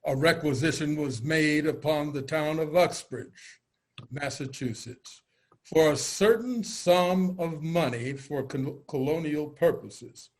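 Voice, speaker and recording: A man reading as a town historian in a staged reading, formal and deliberate. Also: a little high, low-quality recording. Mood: solemn, measured. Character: male town historian recounting a colonial vote. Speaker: reader in a reader's theater.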